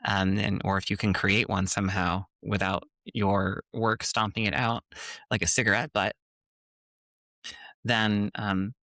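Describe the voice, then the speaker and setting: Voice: low voice, little pitch movement, a little slow, a bit bright, dry recording. A podcast co-host with a Minnesotan accent, talking in an earnest, encouraging way with hesitant fillers. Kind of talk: casual podcast chat.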